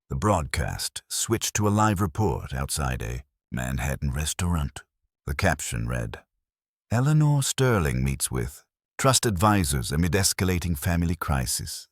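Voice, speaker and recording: A man with an extremely low voice narrates a first-person drama in measured phrases. His pitch moves in lively swings, and the sound is dry and close. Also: dry recording.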